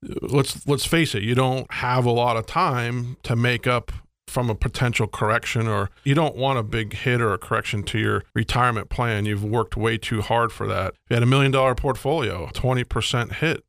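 An American man talks as a financial radio host in a close, dry studio sound. His pitch sits in the ordinary middle range, and he explains things in a folksy, conversational way with a sales bent. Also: fairly even intonation, moderate pace, gravelly, a bit bright, no echo. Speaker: financial advisor hosting a radio show.

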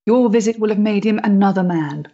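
Lady as serious devoted victorian woman, formal n measured, mid pitch, slightly muffled stage play. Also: dark tone, lightly acted. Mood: grave, grateful. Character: earnest, composed Victorian woman, devoted companion and nurse. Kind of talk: period stage drama acting.

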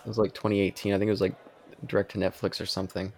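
A man's voice: deep voice, flat delivery, racing, darkish tone. A man chatting casually about films as a podcast co-host, with a hesitant, rambling delivery.

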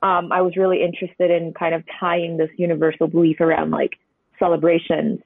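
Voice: medium pitch, completely flat delivery, fairly quick, creaky, no echo. Painter over a tinny line. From Hong Kong. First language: Cantonese. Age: 20s. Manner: reflective, meandering, many hedging asides. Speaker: painter, interview guest. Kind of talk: conversational podcast interview. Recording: tinny, dry phone-line audio.

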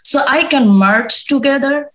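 Eager higher-voiced woman. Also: measured pace, a bit bright. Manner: eager, loosely phrased non-native English. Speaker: guest, psychology fellow. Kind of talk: interview answer.

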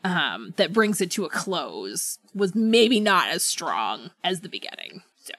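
A woman's voice: fairly low pitch, very animated, measured pace, fairly bright tone. A woman co-hosting a book club podcast, talking casually and conversationally as she qualifies her opinions. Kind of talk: casual book discussion chat.

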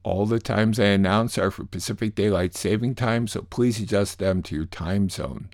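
A man's voice: on the low side, a little mellow, dry recording, studio quality. A man hosting a radio program, reading scripted announcements and news summaries. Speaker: radio show host and producer.

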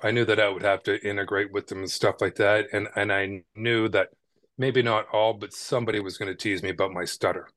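Man, podcast guest, from Canada, low voice, conversational storytelling, dry sound. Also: level tone, a little fast, fairly bright tone, dry recording. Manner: conversational, frequent "right?" tags and small repeats. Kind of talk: casual storytelling interview.

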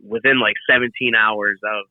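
A man as a podcast guest, chatting casually in a relaxed, rambling way with many fillers. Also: lowish voice, normal speed, no echo, phone-quality sound. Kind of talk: casual chat.

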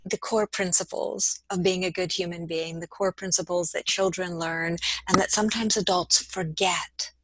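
A woman's voice: lowish voice, fairly even intonation, normal speed, few pauses, no room sound, poor audio. A woman interviewing a guest, asking questions and agreeing emphatically.

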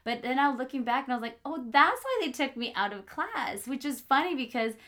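A woman's voice: high voice, very animated, fairly quick, bright, forceful tone. A woman hosting a casual podcast conversation. She talks in a chatty way, with plenty of fillers and laughs. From Los Angeles, US. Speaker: podcast host.

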